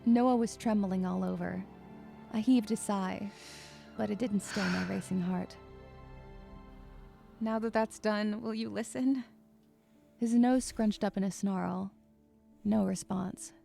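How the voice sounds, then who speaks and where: Woman acting anxious young woman with creaky voice. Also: mid-range voice, steady tone, slow pace, pauses often, mellow, soft background music. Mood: tense narration, then strained, hesitant. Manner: hesitant, stammering in dialogue, introspective in narration. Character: anxious, withdrawn young woman narrating her own story. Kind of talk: first-person dramatic narration with dialogue.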